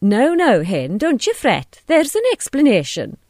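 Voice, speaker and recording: A woman voicing a brazen, smooth-talking man in his fifties who poses as a preacher, in an audiobook story. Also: on the high side, huge pitch swings, unhurried, darkish tone, no room sound, clean studio sound, pitched above the performer's own voice, exaggerated voice. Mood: placating. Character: smooth-talking fifty-five-year-old male impostor preacher, brazen.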